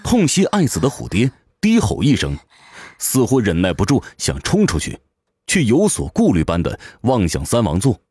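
A man's voice: fairly low pitch, wildly swooping pitch, moderate pace, a little mellow, slightly rough, dry recording. A man narrating a fantasy audio drama from a script, with intense, theatrical storytelling. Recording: music behind the voice.

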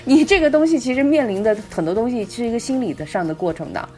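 语调起伏丰富的女主播. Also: female voice, a little high, expressive, fast, darkish tone. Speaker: podcast co-host. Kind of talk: casual discussion.

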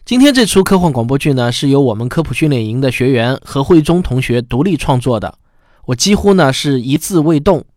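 A man's voice: medium pitch, sing-song, brisk, a little mellow, no echo, studio quality. A man hosting a science show, giving a scripted spoken introduction.

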